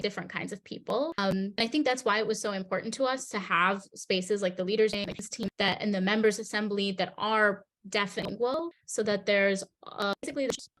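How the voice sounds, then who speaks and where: A woman's voice: medium pitch, a bit bright, poor audio. Woman running a group meeting, thoughtful, keeps hunting for words. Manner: thoughtful, searching for words, affirming. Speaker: meeting facilitator. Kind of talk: facilitating a group discussion.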